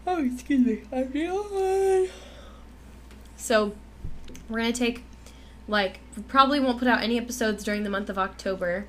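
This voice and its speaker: Bright-voiced young woman podcast co-host. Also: fairly high pitch, normal speed, pauses often, a little hiss or hum. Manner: chatty, laughs often, many fillers and restarts. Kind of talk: casual chat.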